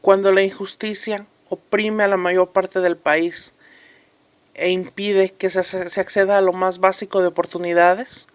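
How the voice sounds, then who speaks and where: A woman's voice: medium pitch, little pitch movement, a little slow, close to an everyday voice. A woman playing an earnest commentator from a fictional republic in a radio drama, speaking solemnly and emphatically in scripted interview style. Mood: solemn, urgent.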